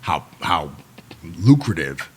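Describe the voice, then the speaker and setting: A Nigerian-born man in his forties, a Yoruba native speaker, guests on a podcast and tells a long story with animated energy, voicing the people in it. His voice sits low yet has a bright, forceful edge. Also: slow pace, husky. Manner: animated storyteller who acts out quoted people. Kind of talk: storytelling in a long-form interview.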